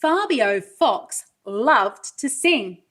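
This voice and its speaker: A woman with a fairly high voice reads a picture book to small children. She takes it very slowly, in an expressive, sing-song way, and puts questions to her listeners as a pre-school teacher would. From Wales. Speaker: pre-school teacher reading a storybook aloud. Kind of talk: children's read-aloud with asides to young listeners.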